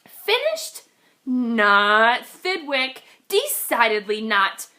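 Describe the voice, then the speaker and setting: A woman reading a children's storybook aloud in an expressive, dramatic way with deliberate phrasing. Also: a little high. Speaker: children's read-aloud reader.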